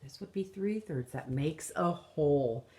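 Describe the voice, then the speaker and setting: A woman in her thirties reads a picture book aloud like an elementary teacher. She goes very slowly, with a fairly low voice that moves up and down for emphasis. Her delivery is deliberate and instructive, recorded at home on a device about an arm's length away. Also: animated, dark tone. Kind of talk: children's picture book read-aloud with explanations. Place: room at home.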